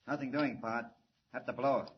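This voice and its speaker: A man's voice: mid-range voice, steady tone, normal speed, natural voice. Guy doing a tough thug sidekick, blunt, hissy muffled old radio sound. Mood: gruff. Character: rough male henchman named Joe. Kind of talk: 1940s radio acting. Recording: dull old radio recording with clear hiss.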